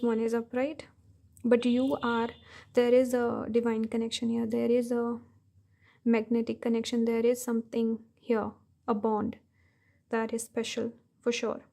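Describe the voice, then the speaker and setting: Hoarse, gravelly-voiced tarot reader woman. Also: fairly high pitch, dark tone. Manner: thinking aloud, unhurried. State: hoarse, tired voice. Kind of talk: pick-a-card tarot reading.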